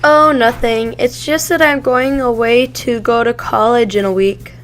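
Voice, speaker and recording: A woman's voice: a little high, steady tone, a little slow, a bit bright, soft background music. A young woman playing an eager young archer heroine about to start college, in an amateur audio drama. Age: young adult.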